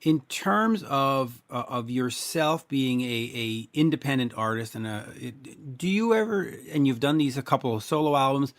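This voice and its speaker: A man's voice: medium pitch, animated, moderate pace, few pauses. Man asking long rambling questions. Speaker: interviewer host. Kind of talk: interview questions.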